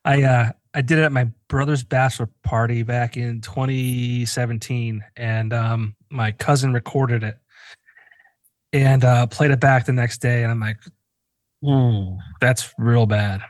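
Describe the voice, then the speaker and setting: A man's voice: mid-range voice, unhurried, no room sound. A man in his forties from northeastern Pennsylvania, hosting a podcast and telling self-mocking stories in casual chat. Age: 40s. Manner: animated, self-mocking storyteller who swears freely. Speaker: podcast host.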